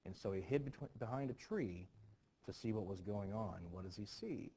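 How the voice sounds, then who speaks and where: A man teaching students about a play in an informal, animated storytelling manner. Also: on the low side, moderate pace. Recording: clearly noisy background.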